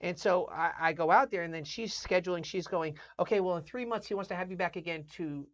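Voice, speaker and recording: Brief: quick, animated woman co-host acting out her stories, lo-fi dull podcast sound. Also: on the low side. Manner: animated storyteller who acts out both sides of conversations. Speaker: pastor and co-host. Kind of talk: casual podcast chat.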